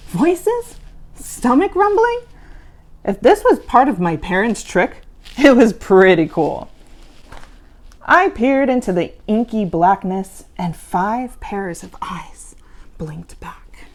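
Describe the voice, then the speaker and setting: Female spooky-hostess storyteller for kids: theatrical, playful, unhurried, with big swings in pitch. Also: sing-song. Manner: dramatic, playful, hushed for suspense. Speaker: costumed horror-hostess storyteller for children.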